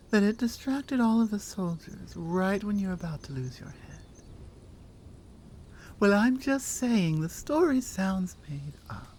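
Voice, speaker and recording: Actress as teasing Nordic warrior woman, ASMR audio drama. Nordic accent, mellow and slow, playfully dry and doubting. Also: lowish voice, slight background noise, full character voice. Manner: playful, dry, conversational with pauses. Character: strong, teasing, skeptical female Nordic warrior.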